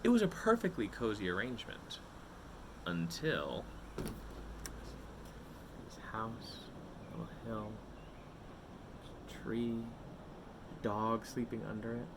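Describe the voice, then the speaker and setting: A man's voice: on the low side, sing-song, slow, long pauses, background noise. A man hosting a read-aloud for children, reading a picture book expressively and addressing his young listeners directly.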